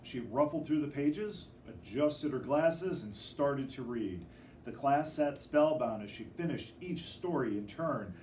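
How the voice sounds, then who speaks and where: A man reading a children's picture book aloud in an expressive, dramatic storytelling manner. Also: mid-range voice, a little slow, reverberant. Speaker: storybook reader. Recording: distant microphone with faint background noise.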